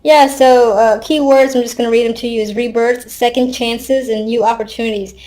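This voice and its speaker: A woman's voice: on the high side, fairly even intonation, normal speed, a little mellow, poor audio. A woman giving a casual, unscripted tarot reading, thinking aloud conversationally with wry asides. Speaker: tarot card reader.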